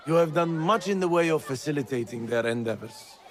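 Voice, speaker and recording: A man playing a weary, cautious older mentor of thieves in a video game, speaking in a measured, admonishing way. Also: a little high, lively intonation, a faint crowd, lightly acted. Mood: cautionary, solemn. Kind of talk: dramatic video game acting.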